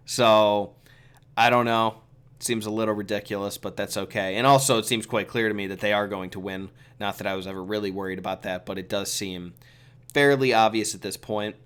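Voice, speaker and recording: A man who is a little sick hosting a relaxed, chatty solo review, giving his opinions with lots of fillers. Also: lowish voice, clear, resonant tone. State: sick with a cold. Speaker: video host reviewing a comic chapter. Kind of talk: casual solo commentary.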